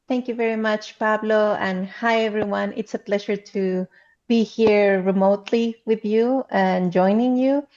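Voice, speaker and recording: A woman from Guatemala with a Guatemalan Spanish accent, presenting remotely at a conference in a halting, explanatory way. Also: medium pitch, fairly even intonation, a little mellow. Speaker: council chair speaking as panelist. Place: remote, on a video call. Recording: slightly dull video-call audio.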